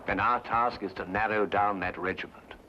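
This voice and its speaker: An actor playing a brisk, self-assured detective, speaking precisely and incisively in an old-time radio drama. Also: male voice, lowish voice, steady tone, close to an everyday voice. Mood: confident, probing. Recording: dull, noisy old radio recording.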